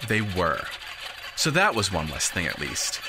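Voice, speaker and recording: A man's voice: sits low, huge pitch swings, measured pace, noisy background. A man narrating a scripted audio drama in a dramatic, precise storytelling manner.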